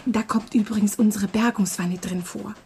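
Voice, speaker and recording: An actress playing a chatty, teasing female publishing colleague in a German radio drama, her voice breathy and husky. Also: medium pitch, fairly even intonation, moderate pace, a little mellow, slight background noise, lightly acted.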